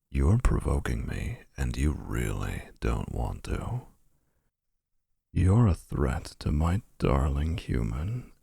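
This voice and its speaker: A man's voice: a bass voice, gravelly, mellow, husky, no room sound, studio quality, exaggerated voice. A man voicing a possessive, smug male vampire suitor in a boyfriend roleplay, talking in a menacing, boastful way.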